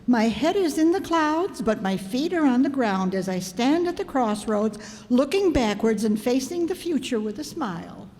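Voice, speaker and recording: An actress playing an excitable, stubborn, vain housewife in a theatrical, melodramatic fifties radio sitcom style. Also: female voice, a little high, moderate pace. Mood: grandly reciting. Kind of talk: 1950s radio sitcom acting. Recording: echoey hall, stage performance.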